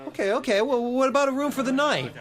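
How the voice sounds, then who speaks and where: An actor playing a wisecracking, grumbling young American backpacker in a radio drama, with a sarcastic manner. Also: male voice, extremely high pitch, fast, fairly bright tone. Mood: insistent. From United States. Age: young adult. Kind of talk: naturalistic radio drama acting. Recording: radio drama with music underneath.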